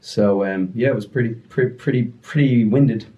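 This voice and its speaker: A young man telling a story casually on a podcast, animated and breaking into voices as he retells it. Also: mid-range voice, normal speed, muffled recording. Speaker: podcast guest.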